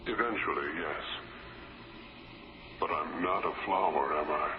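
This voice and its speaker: An actor playing a calm alien life form living in a duplicate of a human man's body, explaining in a measured way in a 1970s radio drama. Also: extremely low pitch, a crowd in the background. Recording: dull old radio broadcast, hiss.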